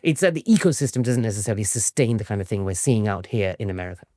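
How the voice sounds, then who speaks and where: A man's voice: fairly low pitch, lively intonation, racing, darkish tone, no echo, clean studio sound. A man giving explanatory answers as an expert interview guest, often tagging his points with a questioning "right?". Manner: frequently tags statements with "right?". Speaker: interview guest, academic author and historian.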